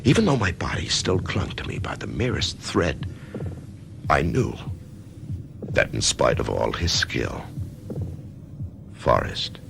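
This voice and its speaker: An actor playing an author who narrates his own death in a whispery, hushed voice, stammering with wonder, in a radio drama. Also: extremely low pitch, wildly swooping pitch, slow, gravelly, pauses often, noisy background, exaggerated voice. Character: dying male author, awed, curious. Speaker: narrator and lead.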